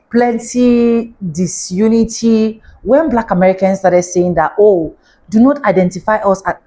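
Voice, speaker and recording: A woman's voice: medium pitch, darkish tone. A woman with an African accent, hosting a casual commentary show and talking directly to her viewers.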